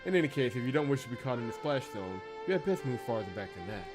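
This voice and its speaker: A man's voice: mid-range voice, very animated, fast talker, natural voice. Man playing a composed, formal crime boss, music behind. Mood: cool, formal.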